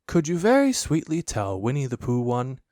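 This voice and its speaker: A man's voice: mid-range voice, huge pitch swings, moderate pace, a little mellow, no echo, clean studio sound. A man voicing a curious, earnest little boy in a children's storybook, eager and politely pleading. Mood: eager, coaxing.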